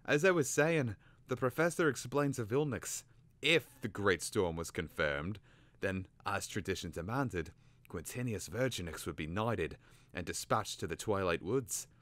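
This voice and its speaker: A man's voice: lowish voice, unhurried, fairly bright tone, dry recording. A man voicing an impatient talking caterbird who tells a tale in a storybook. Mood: storytelling. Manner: impatient, hissing. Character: talkative, testy male caterbird storyteller.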